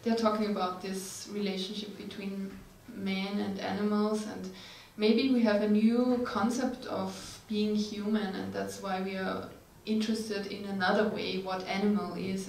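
Creaky woman, echoey hall. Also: medium pitch, flat delivery, moderate pace, few pauses, darkish tone. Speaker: author on a panel. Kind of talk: casual panel discussion. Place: stage at a live reading event indoors. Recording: echoey hall from far off, faint noise.

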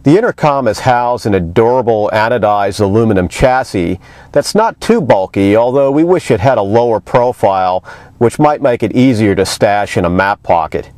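A man's voice: fairly low pitch, animated, moderate pace, darkish tone, dry recording. A man, reading a scripted product review as a magazine reviewer.

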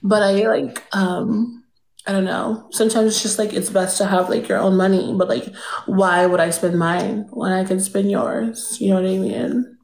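A woman's voice: mid-range voice, fairly even intonation, normal speed, gravelly, a little mellow, echoey room, lightly acted. Woman playing a vain, ditzy rich girl. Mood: smug, airy. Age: young adult. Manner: airy, drawling, full of "like" and "um". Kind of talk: improvised comedic character on a livestream. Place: indoor room with pink lights. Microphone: phone front camera.

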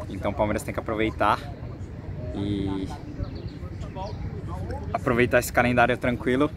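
A Brazilian man in his twenties gives an informal football vlog report to camera, in a halting way with repeated words, speaking into a phone at arm's length outdoors. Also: mid-range voice. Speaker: sports reporter for a football fan channel. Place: outdoors on a football training pitch. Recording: outdoor noise with distant vehicles.

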